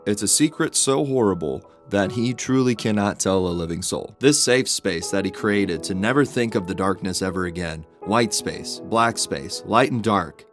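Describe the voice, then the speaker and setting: Low-voiced man narrating over guitar music. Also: normal speed, few pauses. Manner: reflective, confessional. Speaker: video essayist. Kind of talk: scripted personal video essay narration.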